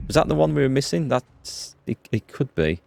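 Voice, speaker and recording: A man's voice: medium pitch, very animated, moderate pace, vocal fry, a little mellow, dry recording. A man streaming a strategy game live, chatting casually, thinking aloud and greeting viewers by name. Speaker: gaming streamer. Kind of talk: live let's play commentary.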